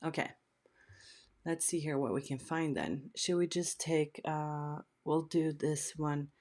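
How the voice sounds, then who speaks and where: Middle-aged woman from Sweden, native Swedish, low-ish voice, slow and chatty; live stream host. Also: a little mellow. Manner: chatty, unhurried, reads out viewer questions. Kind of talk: casual live stream chat.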